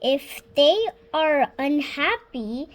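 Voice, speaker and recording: A girl of about six reading a children's storybook aloud, halting word by word, stumbling and correcting herself. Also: high-pitched. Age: child, about 6 years old. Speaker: young reader practising reading aloud.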